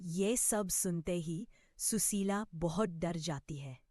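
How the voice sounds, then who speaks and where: A woman's voice: lowish voice, slow, mellow, no room sound, studio quality. A woman narrating a scripted story.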